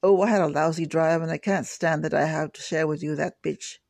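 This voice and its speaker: A man voicing a blunt, deadpan male lab worker from Queens who gripes in a flat tone. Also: a little high, a little fast, vocal fry, no room sound, studio quality. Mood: griping. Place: home kitchen table. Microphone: laptop setup.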